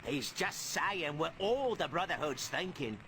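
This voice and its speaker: High, sniveling villain sidekick. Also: male voice, expressive, a little slow, sharp tone, slight background noise, heavily acted, low-quality recording. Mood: resentful sneering. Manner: sneering, whiny. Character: sniveling male mutant villain sidekick, sneering, resentful and cowardly.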